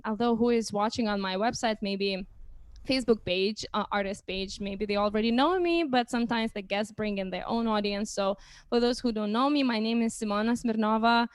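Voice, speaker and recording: A woman's voice: fairly high pitch, clear, resonant tone, no room sound. A young woman hosting a casual livestream chat, speaking hesitantly and often restarting phrases. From Lithuania. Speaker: host.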